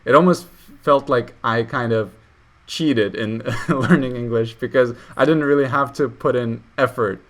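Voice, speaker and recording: A man's voice: medium pitch, unhurried, fairly bright tone. Hesitant young man, Russian native. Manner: hesitant, many fillers and restarts. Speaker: channel host. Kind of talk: casual video call chat.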